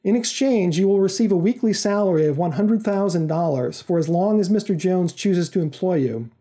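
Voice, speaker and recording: Man reading as imperious, formal intermediary, very mellow. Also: high-pitched, fairly quick. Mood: stern, commanding. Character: commanding, secretive male intermediary making a job offer. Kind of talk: audiobook-like story reading.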